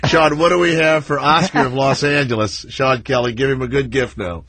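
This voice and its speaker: A man's voice: mid-range voice, fairly even intonation, a little fast, fairly bright tone, breathy edge, no echo. A middle-aged man hosting a call-in radio show, joking loosely and rambling with restarts. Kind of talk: casual call-in radio banter. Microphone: studio microphone.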